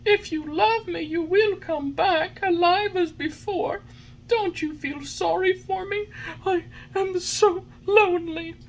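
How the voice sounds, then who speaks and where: A woman's voice: very high-pitched, animated, normal speed, few pauses, sharp tone, voice pushed up, exaggerated voice, rough recording. A woman voicing a childlike, emotional little wooden puppet boy in a storybook, with a plaintive, exclamatory delivery. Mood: sobbing, despairing.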